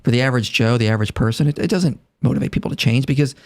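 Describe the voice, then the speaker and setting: A man's voice: rapid-fire, vocal fry, a little mellow. Earnest man in his thirties arguing. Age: 30s. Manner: earnest, argumentative, voices imagined speakers in raised pitch. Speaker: podcast guest. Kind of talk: casual conversation.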